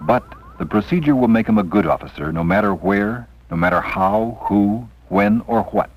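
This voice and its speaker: A deep-voiced man narrates a 1970s training film. His delivery is formal and measured, with deliberate emphasis on each phrase of the script. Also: lively intonation, a little hiss or hum, poor audio.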